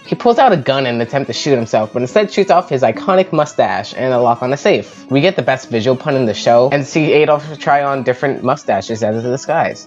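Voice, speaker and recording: A woman's voice: very deep voice, a little mellow, lo-fi sound. A woman hosting a video review, reading scripted narration with casual asides. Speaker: host of a video review show.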